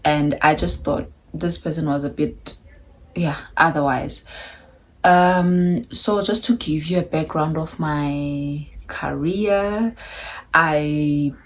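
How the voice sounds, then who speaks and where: A woman's voice: sits low, slow pace. A South African woman in her thirties talking to camera in a chatty, candid vlog with wry asides. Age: 30s. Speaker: vlogger.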